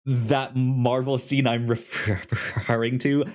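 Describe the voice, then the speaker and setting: A man's voice: mid-range voice, flat delivery. A man co-hosting a podcast, chatting casually and enthusiastically about films, often stumbling and restarting his words. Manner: halting, stammering over words, enthusiastic. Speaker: podcast co-host. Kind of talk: casual film discussion chat.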